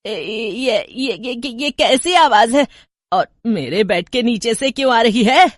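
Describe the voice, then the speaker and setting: A woman voicing an older mother-in-law, panicky and complaining, with a shrill, dramatic manner in a horror story. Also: expressive, slow, no room sound, studio quality. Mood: panicked.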